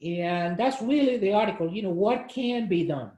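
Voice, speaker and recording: A man's voice: sits high, moderate pace, dark tone, reverberant. A man in his sixties, born in Cuba, leading a casual group discussion on a video call, explaining an article with many fillers and restarts. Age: 60s. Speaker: discussion leader.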